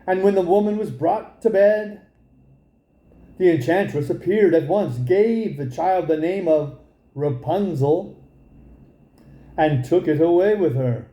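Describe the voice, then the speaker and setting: Slow, mellow man reading a fairy tale. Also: high-pitched, echoey room. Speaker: storybook reader. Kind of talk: expressive fairy tale narration.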